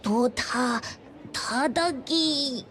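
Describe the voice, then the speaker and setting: A woman's voice: high-pitched, drawn-out words, fairly bright tone, a little hiss or hum, heavily acted. A woman voicing a tiny, cheerful, cutesy character in an anime game with perky delivery. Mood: playful. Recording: music behind the voice.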